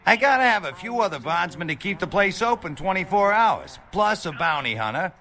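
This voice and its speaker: A wisecracking American bail bondsman talks in a high, creaky, forceful voice that swings around a lot. He is chatty and a little seedy, a rambling video game character. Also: sing-song, fairly quick, slight background noise, full character voice. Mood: chatty, amused. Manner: colloquial, jokey, rambling.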